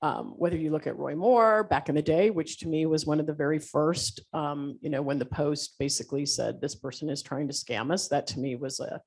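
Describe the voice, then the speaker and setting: A woman speaking as a panelist in a panel discussion, animated and rambling, with frequent fillers. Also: low voice, brisk, hardly any pauses.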